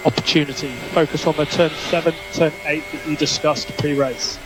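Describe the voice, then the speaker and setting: A man working as a race engineer, giving measured, clipped instructions over team radio and repeating key calls. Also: fairly high pitch, steady tone, over music. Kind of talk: instructional radio messages to a racing driver. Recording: noisy motor racing team radio.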